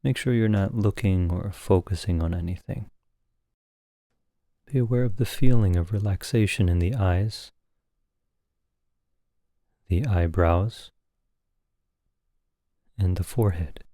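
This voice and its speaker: A man leading a guided meditation as a teacher, speaking calmly and gently in short phrases with long pauses. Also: sits low, no echo, studio quality.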